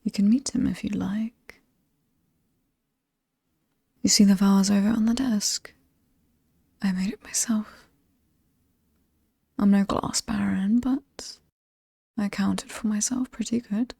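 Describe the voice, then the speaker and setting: Alluring she-devil of a haunted estate, acted by a woman, British, mocking, mellow and breathy, very close and dry, horror ASMR. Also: mid-range voice, steady tone, no echo, full character voice. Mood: sinister relish. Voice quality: breathy, often whispered, soft. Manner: slow, taunting, teasing. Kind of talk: horror ASMR roleplay, scripted monologue.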